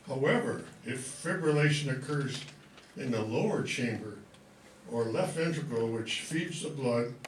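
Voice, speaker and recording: An older man giving an informal speech to an audience in short, halting phrases with dry humour. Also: mid-range voice. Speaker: guest speaker, amateur writer and actor. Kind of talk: informal thank-you speech. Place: room before a live audience. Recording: echoey room, distant pickup, faint noise.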